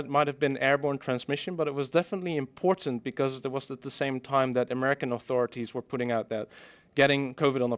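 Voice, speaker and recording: A middle-aged man from the Netherlands presents his research at a conference in a measured, explanatory way. His voice sits at an ordinary middle pitch, and he fills his pauses with frequent ums as he works through the points. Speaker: scientist giving a conference talk. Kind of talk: scientific presentation.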